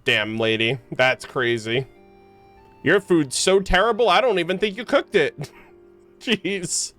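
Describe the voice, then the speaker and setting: A man's voice: on the high side, animated, slow, fairly bright tone, soft background music. A man giving casual, slangy live reactions while playing a game, muttering asides on a close mic. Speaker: game streamer.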